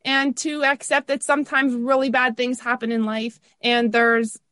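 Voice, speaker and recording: A woman's voice: sits high, flat delivery, normal speed, a bit bright, no room sound, clean studio sound. A middle-aged woman with a Canadian accent, telling personal stories as an interview guest. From Toronto area, Canada. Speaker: interview guest, university teacher.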